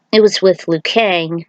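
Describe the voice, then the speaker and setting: A woman's voice: mid-range voice, no echo, highs cut off. A woman reading a fan fiction story aloud as an amateur narrator.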